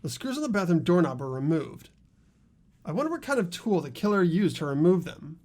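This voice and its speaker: A man voicing an earnest high school boy in a mystery game, explaining a case in a deliberate, dramatic way. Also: big pitch swings, darkish tone. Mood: puzzled, intent. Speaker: amateur voice actor in a game playthrough.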